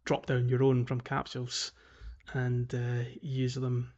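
Male podcast co-host, average pitch, informal and a little hesitant, casual gaming talk. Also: completely flat delivery, slow pace, a little mellow, highs cut off. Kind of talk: casual video game chat.

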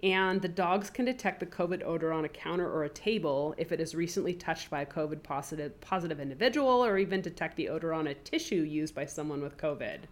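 A woman with a low voice, made a little deeper by a cold, hosts a casual podcast. She chats easily and reads news stories aloud, stopping to add her own comments. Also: animated, fast, few pauses. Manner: chatty, reads news items aloud with asides. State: has a cold, voice deeper than usual. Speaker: podcast co-host.

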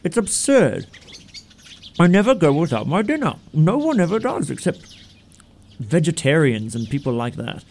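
An actor playing a greedy, flippant young English gentleman in a comic radio play, with a glib, pompous, mannered delivery. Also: fairly high pitch, big pitch swings, normal speed, long pauses. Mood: glib, argumentative.

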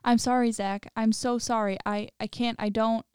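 A woman voicing a panicked, remorseful intruder in a horror story, pleading and crying in halting apologies. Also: a little high, level tone, darkish tone, clean tone, dry recording, clean studio sound.

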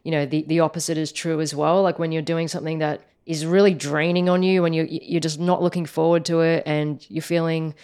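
A woman co-hosting a podcast in casual chat, her voice husky and a little hoarse from shouting, talking in a chatty, upbeat way and explaining things plainly. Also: little pitch movement, fairly quick, hardly any pauses. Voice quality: low, croaky. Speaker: podcast co-host, startup founder.

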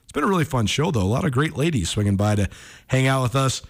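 A man's voice: mid-range voice, sing-song, quick pace. An American man hosting a sports talk radio show, commenting casually and thinking aloud as he goes.

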